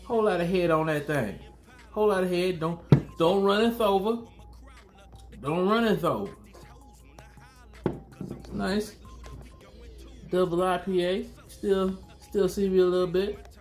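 A man's voice: high voice, takes it slowly, pauses often, faint music behind. A man in his thirties reviewing a beer to camera at home, talking casually in slang and repeating himself. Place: small room at home, indoors.

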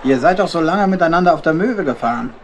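A man playing a friendly acquaintance in a children's audio play, consoling and gently scolding. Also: fairly high pitch, expressive, fast talker. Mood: reassuring, chiding. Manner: easygoing, consoling. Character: friendly male acquaintance, reassuring, mildly scolding. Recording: noisy old record with ambience.

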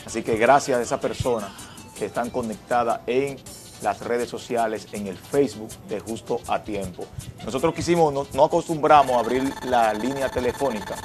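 A man co-hosting a studio talk show, a bit hoarse and jokey, stumbling over words. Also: mid-range voice, normal speed. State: slightly hoarse. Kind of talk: casual studio chat. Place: TV studio set. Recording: TV broadcast with background music.

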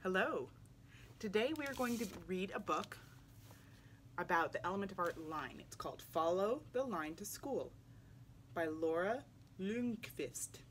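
A young adult woman reading a children's picture book aloud in an animated way, putting questions to young listeners. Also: mid-range voice, a little slow, long pauses, slight background noise. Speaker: read-aloud storyteller for children. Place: small indoor room, seated in armchair.

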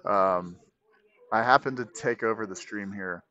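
A man's voice: on the low side, measured pace, sharp tone, highs cut off. A man chatting casually as a guest streamer, rambling and joking as he goes. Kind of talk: casual livestream chat.

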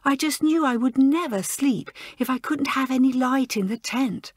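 A woman's voice: sits high, moderate pace, slightly rough, no room sound, studio quality, voice pushed up. A woman voicing a tearful, ashamed schoolgirl. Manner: sobbing, pleading.

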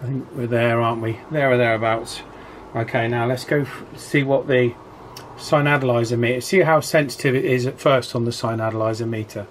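A man's voice: medium pitch, steady tone, brisk. A man giving a casual hands-on electronics tutorial, thinking aloud informally as he works at an indoor workbench. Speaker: electronics hobbyist demonstrating radio alignment. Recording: clear background noise from workbench.

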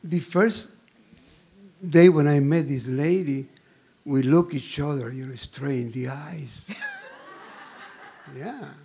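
A man's voice: on the high side, slow pace, creaky, long pauses, dark tone, slightly rough. An older man from the audience with a Spanish-speaking accent, telling a fond, sentimental story about an old friend. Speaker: audience member. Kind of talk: heartfelt tribute.

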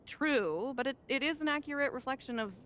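A woman's voice: high voice, animated, fairly quick, fairly bright tone, slight background noise. An actress playing a blunt, calculating female adviser who argues emphatically and condescendingly, in a naturalistic video game cutscene style. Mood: wry, blunt.

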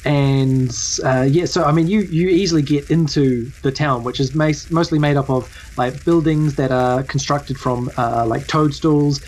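A man's voice: on the high side, fairly even intonation, a little slow, few pauses, a little mellow, poor audio. Man improvising as game master. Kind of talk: improvised tabletop game narration.

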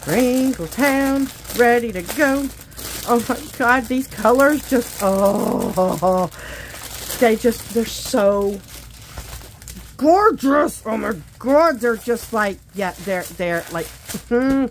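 A woman with a fairly high voice chats casually to her viewers during a craft unboxing. Her delivery is folksy and gushing, full of exclamations. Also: lively intonation, extremely slow, slight background noise. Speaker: craft vlogger. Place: indoors at a craft table.

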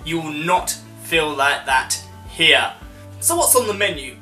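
Bright-voiced man reviewing in a roomy space. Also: fairly high pitch, very animated, unhurried. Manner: chatty, conversational, listing points. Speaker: vlogger reviewing restaurants. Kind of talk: informal review voice-over. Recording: roomy echo, music underneath.

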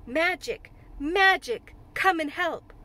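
A woman voicing an earnest, imperious young boy in a storybook, speaking gravely and with priestlike solemnity. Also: very high-pitched, lively intonation, normal speed, sharp tone, full character voice. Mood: solemn chanting. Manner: solemn, declamatory.

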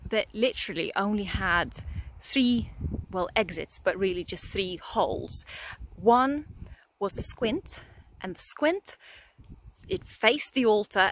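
A woman in her thirties talks through a casual vlog outside in a country churchyard, her voice at a middling pitch. She stumbles and restarts often, padding her sentences with fillers. The phone held at arm's length catches clear background noise. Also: a bit bright, low-quality recording. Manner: chatty and hesitant, with many restarts and fillers. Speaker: vlogger.

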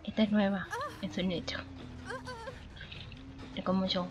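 Slow-paced woman murmuring over a game. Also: mid-range voice, little pitch movement, highs cut off. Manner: hushed, murmuring to herself, with English interjections. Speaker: gaming channel host. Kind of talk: casual horror game commentary. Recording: clearly noisy, game sounds behind. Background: game ambience.

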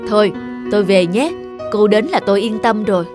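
A man playing a kind, reassuring young shopkeeper in cartoon dialogue, with a calm, modest manner. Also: high voice, brisk, over music, lightly acted.

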